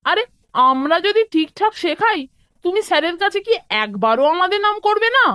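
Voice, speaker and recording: A woman voicing a small, cheeky, excitable schoolboy in a cartoon, chatty and full of exclamations. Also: very high-pitched, moderate pace, dry recording, clean studio sound, exaggerated voice. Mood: eager. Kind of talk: cartoon voice acting.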